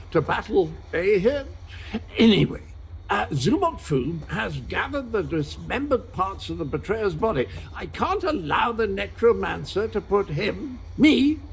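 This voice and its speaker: A man's voice: high-pitched, creaky, fairly bright tone, slight background noise, full character voice. A man voicing a daft, gallant old knight in a video game, with a pompous, theatrical manner. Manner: pompous, archaic, exclamatory.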